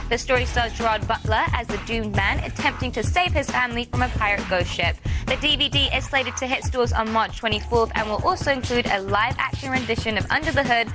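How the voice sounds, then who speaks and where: An American woman reads short news stories as a segment presenter, with a breezy, polished delivery. Her voice sits fairly high, and a music bed plays clearly behind her. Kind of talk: scripted news read for a tech and pop culture show.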